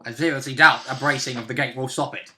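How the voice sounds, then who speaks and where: Bright-voiced young man chatting about gaming. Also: mid-range voice, fairly even intonation, fast, slight background noise. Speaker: player and co-host. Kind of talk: casual chat about a game. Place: small furnished room at home, indoors.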